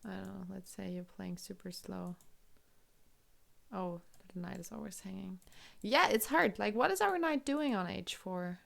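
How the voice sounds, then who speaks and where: A woman's voice: mid-range voice, a little slow, gravelly. A woman from Germany with a German accent, commentating chess on a live stream, thinking aloud and answering chat questions.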